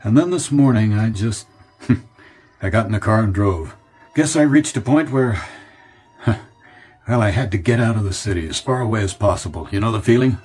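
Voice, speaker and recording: An American actor playing a middle-aged, weary and wistful executive in a radio drama, talking in a hesitant, musing way. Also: male voice, on the low side, moderate pace, a little mellow, breathy edge, soft background music. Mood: wistful, restless. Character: weary, wistful ad executive fleeing city pressures.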